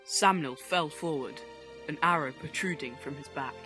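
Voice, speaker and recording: A man's voice: on the high side, normal speed, sharp tone, soft background music. A man giving scripted storytelling narration for an audio play.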